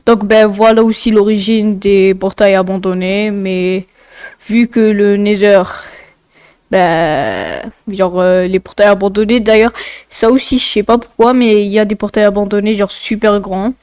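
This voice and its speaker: Female video narrator, informal and digressive, clear tone but nasally congested, recorded close and dry. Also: medium pitch, measured pace, darkish tone, no room sound. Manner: informal, rambling, often digressing. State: congested, blocked nose. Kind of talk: casual explainer narration with asides.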